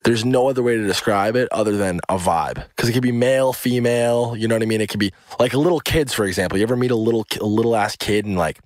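Rambling young man, lowish voice. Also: no echo, studio quality. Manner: rambling, heavy filler words and swearing. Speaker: podcast co-host. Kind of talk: casual podcast chat.

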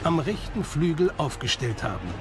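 Documentary narrator, man, slightly high register, scripted read over a music bed. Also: big pitch swings, moderate pace. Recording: music behind the voice. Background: background music.

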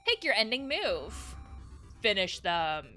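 A woman's voice: mid-range voice, big pitch swings, slow pace, bright, forceful tone, soft background music, heavily acted. A woman voicing a grandiose video game announcer in an emphatic, infomercial-like style. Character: grandiose game announcer declaring victory and next moves.

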